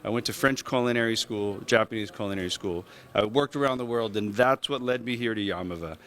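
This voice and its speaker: A man, a chef, explaining symbolic holiday dishes to reporters in a relaxed way. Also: lowish voice, fairly quick. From United States, Thai Chinese family. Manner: relaxed, explanatory, checks in with "right?" and "okay?". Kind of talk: explaining a menu to press.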